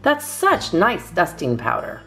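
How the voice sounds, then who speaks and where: A woman acts out a cheerful, eager-to-please housemaid from a children's storybook. She is innocent and earnest, lifting her pitch with lively swings. Soft music plays behind her. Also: a little slow, full character voice, low-quality recording. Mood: eager, proud. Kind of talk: children's storybook character reading.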